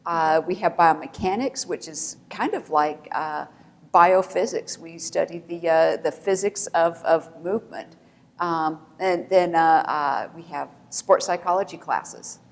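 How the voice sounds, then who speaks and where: A woman professor from Colorado explains her field to a panel audience, pausing with fillers along the way. Her voice is a bit low for a woman. The room adds a long hall reverb. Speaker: professor and department chair. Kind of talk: panel discussion.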